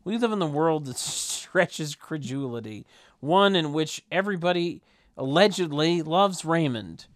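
A man narrating a script table read and bantering casually, with a deadpan, wry manner. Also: a little high, measured pace.

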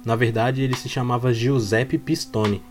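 A man's voice: mid-range voice, steady tone, moderate pace, a little mellow. A Brazilian man telling a true crime story in a plain, explanatory way with informal wording. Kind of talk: storytelling narration. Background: background music.

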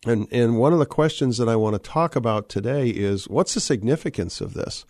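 A middle-aged man hosting a radio talk show, a little hoarse from a cold, talking with many "uh" fillers and restarts. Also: medium pitch, expressive, a little fast, a little mellow, no echo, studio quality. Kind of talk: live radio show opening monologue. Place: radio studio. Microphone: studio microphone.

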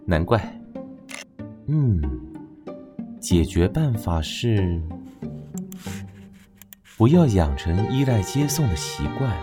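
A man acts as a young male stylist from a romantic audio drama, speaking slowly with a Taiwanese Mandarin accent. His manner is soft and attentive, with lively swings in intonation. Music plays clearly underneath. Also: fairly low pitch. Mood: musing, amused. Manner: soft, caring, unhurried.

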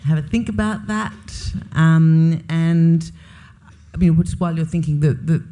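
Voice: medium pitch, steady tone, unhurried, mellow. An interviewer hosting an onstage author talk, asking curious, chatty questions with many fillers.

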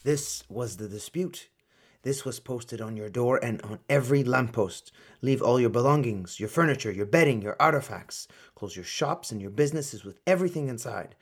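A man acting in a radio play as a stern but protective older colonel and uncle figure, grave and deliberate. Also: medium pitch, animated, husky. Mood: resigned, businesslike.

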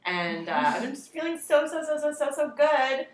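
A woman's voice: high-pitched, very animated, moderate pace, reverberant. A woman co-hosting a podcast, telling a long self-deprecating story in a casual, rambling way. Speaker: podcast co-host. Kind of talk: casual chat.

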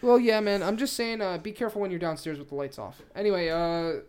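A man's voice: sits high, steady tone, quick pace, darkish tone, clean tone. A man hosting a podcast in casual chat, swearing freely and rambling insistently as he repeats his points. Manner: profane. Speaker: podcast host.

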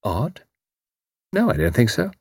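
A man's voice: medium pitch, wildly swooping pitch, measured pace, a little mellow, husky, no room sound, clean studio sound, heavily acted. A man voicing a polite, guarded middle-aged Englishwoman with an English accent and flustered hesitations in an audiobook. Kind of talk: audiobook character voice.

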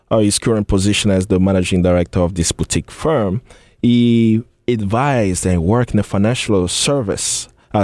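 A man co-hosting a talk show, introducing a guest's background in a halting way with frequent fillers. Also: low-pitched, darkish tone, no echo. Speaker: co-host. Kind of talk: reading a guest bio.

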